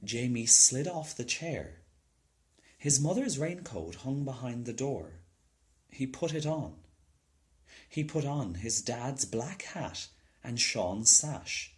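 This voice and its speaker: A man's voice: medium pitch, lively intonation, slow. A man narrating a children's storybook as a scripted reading. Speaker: storybook reader.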